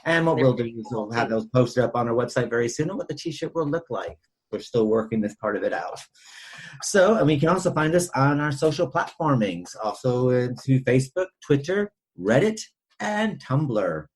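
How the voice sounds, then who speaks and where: Husky-voiced man hosting a podcast. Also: mid-range voice, very animated, moderate pace. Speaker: podcast host. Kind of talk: casual podcast sign-off.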